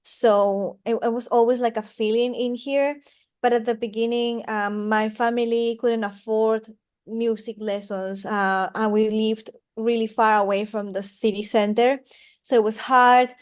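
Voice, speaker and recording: A woman's voice: on the high side, fairly even intonation, measured pace, smooth. A woman from Peru speaking conversationally as an interview guest. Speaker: podcast guest, singer and harpist.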